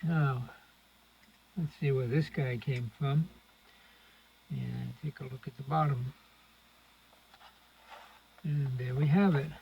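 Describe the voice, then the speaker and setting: A man's voice: mid-range voice, fairly even intonation, takes it slowly, vocal fry, pauses often. A man giving casual, unscripted commentary as he demonstrates a hands-on repair, murmuring through each step as he works. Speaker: host of a radio restoration channel. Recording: dull lo-fi audio with loud noise, clicks.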